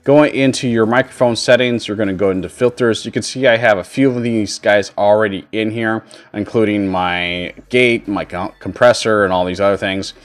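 Low-voiced man giving a casual tutorial. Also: steady tone, normal speed. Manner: informal, rambling explanation. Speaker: streamer and tutorial host. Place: indoor home streaming setup.

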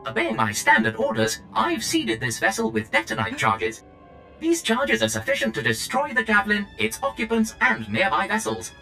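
A prim robot with a bright, wildly swooping voice. It is smug and courteous, enunciating every word with pompous pride in a video game scene, over music. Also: male voice, medium pitch, huge pitch swings, normal speed, vocal fry, full character voice, rough recording. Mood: polite pride. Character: polite, smug demolition droid proud of its work. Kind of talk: video game cutscene acting.

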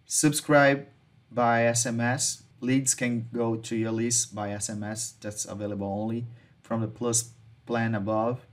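A man with a Brazilian Portuguese accent, a non-native English speaker, casually walking through software features on a screen share, often restarting his sentences. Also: medium pitch, level tone, unhurried. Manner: informal, restarts and self-corrections, non-native phrasing. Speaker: marketing tutorial host.

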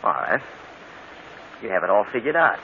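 An actor playing an impatient, menacing murderer, curt and tough-talking, in an old radio crime drama. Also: male voice, fairly low pitch, sing-song, normal speed, vocal fry. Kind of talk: 1940s radio crime acting. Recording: tinny, hissy old radio broadcast.

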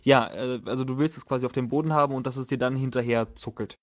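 A man acting as game master, narrating and bantering casually around a tabletop game with halting, hesitant phrasing. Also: mid-range voice, steady tone.

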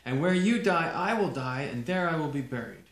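A man's voice: a little high, lively intonation, normal speed, a little hiss or hum. A man voicing a devoted young widow who insists on staying with her mother-in-law, speaking earnestly and emphatically. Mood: pleading, determined. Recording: roomy echo.

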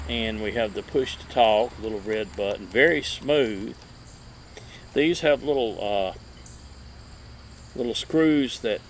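A man in his fifties presenting a casual show-and-tell as a video host, halting and lingering over his words, recorded outdoors a few feet from the camera. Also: medium pitch, poor audio. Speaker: vintage radio collector and video host. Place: outdoor table in a wooded yard. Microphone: camera a few feet away. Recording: steady outdoor background noise.

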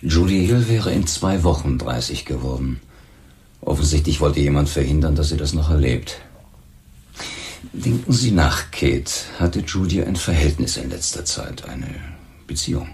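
An actor playing a dry, probing police lieutenant in a crime radio play, with measured, ironic questioning. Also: male voice, animated, darkish tone, echoey room. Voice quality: very deep, gravelly.

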